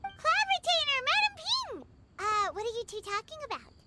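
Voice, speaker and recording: A woman voicing a tiny, excitable, chatty companion sprite in a video game, expressive and easily flustered. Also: extremely high pitch, normal speed. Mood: excited. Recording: music under the voice.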